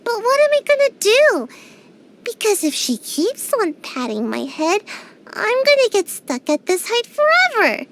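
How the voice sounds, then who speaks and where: A drowsy little ninja girl with a high, bouncy voice that swings up into squeaky complaints. She talks slowly and sleepily, mumbling and sulking like a shy, grumpy kid in a game. Faint music plays behind her. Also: wildly swooping pitch, a bit bright, heavily acted. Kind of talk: game character voice lines.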